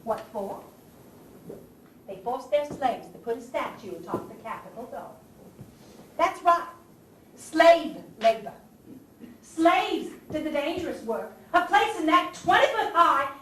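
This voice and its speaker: A woman acting an impassioned Southern abolitionist lady spy in a Civil War stage play, delivering a theatrical monologue to the audience with dramatic pauses. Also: very high-pitched, expressive, sharp tone, distant mic, heavily acted. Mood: indignant, sardonic. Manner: theatrical, declamatory, with dramatic pauses and rhetorical questions. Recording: echoey stage recording from afar, faint noise.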